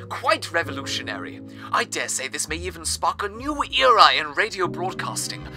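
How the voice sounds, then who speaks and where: A man playing a cheerful, theatrical presenter on a fictional old-time radio show, talking to his listeners. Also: on the high side. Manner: theatrical, self-congratulatory. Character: cheerful, grandiose male radio presenter in a rusting city. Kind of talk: 1940s radio presenter acting.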